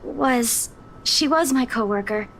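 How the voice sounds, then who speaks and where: A woman voicing a subdued, troubled, withdrawn young woman in a visual novel, speaking in a halting way. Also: a little high, creaky, fairly bright tone, slight background noise, exaggerated voice. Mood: troubled, evasive. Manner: hesitant, halting.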